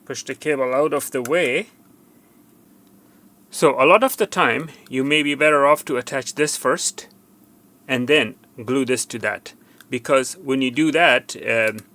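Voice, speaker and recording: Male tutorial host, creaky forceful voice, walking through a repair step by step with repeated cautions. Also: medium pitch. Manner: instructive, repetitive, cautioning. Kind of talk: unscripted hands-on repair demonstration.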